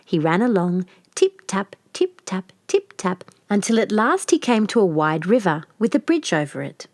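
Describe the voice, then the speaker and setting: A woman reading a scripted children's fairy tale as an expressive storyteller. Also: mid-range voice, moderate pace, dry recording, studio quality.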